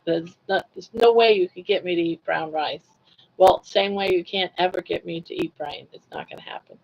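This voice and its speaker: A woman's voice: fairly low pitch, moderate pace, gravelly, darkish tone, highs cut off. An older woman talking casually on a livestream, chatty and full of laughter as she tells stories. She often turns to answer comments from her viewers. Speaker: livestream host.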